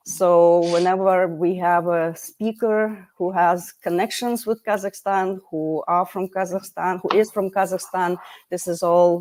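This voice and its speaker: A woman's voice: on the low side, darkish tone. A woman hosting an online interview over a video call, talking in a friendly, chatty way with plenty of fillers and restarts. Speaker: host.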